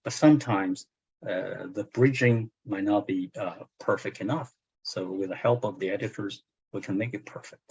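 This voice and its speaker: A man's voice: lowish voice, moderate pace, creaky, a little mellow, husky. A man in his sixties, a native Chinese speaker with a Chinese accent, talking as a translator in a book club discussion, hesitant with many fillers and restarts. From China. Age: 60s. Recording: muffled lo-fi audio.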